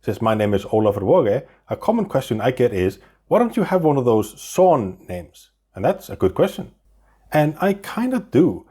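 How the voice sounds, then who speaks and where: Icelandic man explaining, lively and wry. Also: medium pitch, moderate pace, breathy edge. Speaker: video host. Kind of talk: scripted explainer narration.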